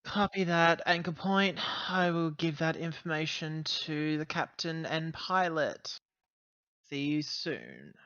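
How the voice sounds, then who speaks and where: A man playing an earnest, conciliatory company officer on a spaceship, halting and placating, in a tabletop game. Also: on the high side, animated, sharp tone, dry recording, dull audio, voice pushed up, full character voice. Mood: brisk.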